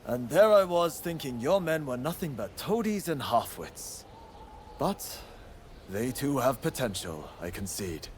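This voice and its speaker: An actor playing a proud, wry samurai in a video game, speaking formally with a haughty edge. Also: male voice, medium pitch, big pitch swings, a little slow, slight background noise. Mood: wry concession. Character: proud, wry samurai rival who enjoys drinking. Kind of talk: dramatic video game dialogue.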